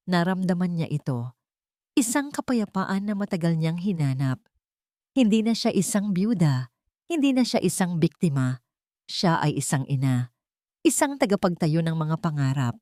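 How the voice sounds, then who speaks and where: Female Filipino storyteller, low-pitched, earnest and sentimental, reading dramatic narration in a very dry close recording. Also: very animated, normal speed, long pauses, warm tone, no echo. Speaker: story narrator and channel host.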